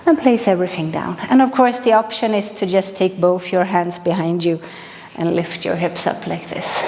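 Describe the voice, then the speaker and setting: A woman from Sweden with a Swedish accent, calmly guiding a movement class with unhurried instructions and frequent pauses. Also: medium pitch, in a large hall, slight background noise. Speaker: movement teacher.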